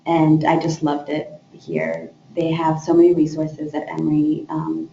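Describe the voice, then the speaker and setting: A woman's voice: sits low, unhurried, creaky, mellow. A young woman from Ethiopia, a graduate student, introducing herself informally and a little hesitantly, restarting phrases. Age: young adult. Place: echoey room, away from the mic. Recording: distant, echoey, muffled, noisy room.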